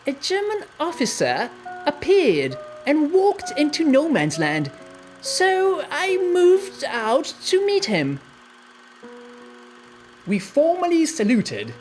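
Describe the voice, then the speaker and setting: A woman's voice: high voice, huge pitch swings, slow pace, darkish tone, soft background music, exaggerated voice. A woman voicing a British army officer recounting a wartime truce in a genteel, dramatic memoir reading. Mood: earnest recollection.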